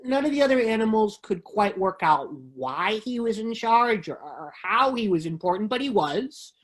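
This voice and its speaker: A woman giving a measured, expressive dramatic reading of children's books. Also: medium pitch.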